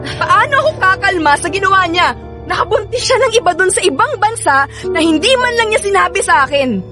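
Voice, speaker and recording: A woman's voice: very high-pitched, lively intonation, a little fast. An actress with a Filipino accent playing a pregnant young woman betrayed by her partner, emotional and pleading, in a radio drama. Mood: shocked, angry. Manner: emotional, insistent, repeats words and pleads. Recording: dramatic music bed under the voice. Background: music.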